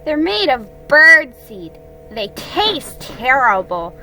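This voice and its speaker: A cheerful young kangaroo from a storybook, played by a woman. The voice is high and childlike, candid in delivery, with music behind it. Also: big pitch swings, takes it slowly, sharp tone, full character voice, rough recording.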